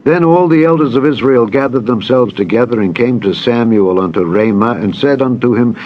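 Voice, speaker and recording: A man narrating a dramatized scripture reading in a solemn, measured, formal manner. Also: mid-range voice, muffled recording. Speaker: Bible narrator. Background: background music.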